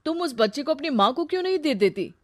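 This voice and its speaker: A woman voicing a smooth-talking young male suitor in a story, brisk and insistent. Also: high voice, lively intonation, no room sound, clean studio sound, voice pushed up. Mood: concerned, insistent.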